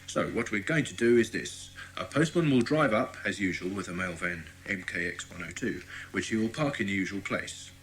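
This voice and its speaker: An actor playing a friendly, obliging British police inspector in a children's audio drama, courteous and explanatory. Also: male voice, lowish voice, normal speed, fairly bright tone, a little hiss or hum, natural voice. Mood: confidential, explanatory.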